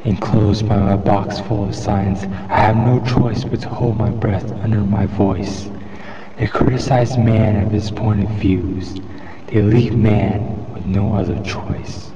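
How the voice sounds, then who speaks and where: A man reciting a poem in a solemn, measured, declamatory way. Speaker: poetry reader. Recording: muffled lo-fi with loud background rumble.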